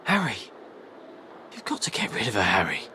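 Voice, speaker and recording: Grumbling, animated British teenage boy. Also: on the low side, normal speed. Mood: pleading, irritated. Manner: casual, grumbling. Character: grumbling, put-upon teenage boy, casual sidekick. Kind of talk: video game voice acting.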